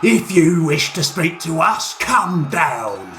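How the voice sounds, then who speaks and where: A man voicing a band of brutish male orc warriors who shout and mock. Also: fairly high pitch, big pitch swings, slow, echoey room, faint music behind, heavily acted. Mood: jeering. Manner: loud mocking shouts.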